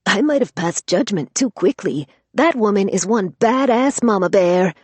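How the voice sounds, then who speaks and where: A wry, teasing young woman friend with a husky, lively voice, as a woman narrator puts her on. The sound is dry and close. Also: mid-range voice, normal speed, no echo, pitched above the performer's own voice. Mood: amused.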